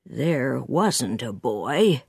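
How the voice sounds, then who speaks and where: Shy gentle farmer man, put on by a woman reader, lowered pitch, very slow, quiet and hesitant, storybook. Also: big pitch swings, no room sound, clean studio sound, exaggerated voice. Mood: unhappy.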